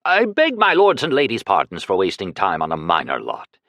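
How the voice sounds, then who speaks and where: A man's voice: low-pitched, wildly swooping pitch, a little fast, a bit bright, no echo, clean studio sound, full character voice. A man voicing a groveling nervous auctioneer. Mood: pleading. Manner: pleading, wheedling, sing-song chanting.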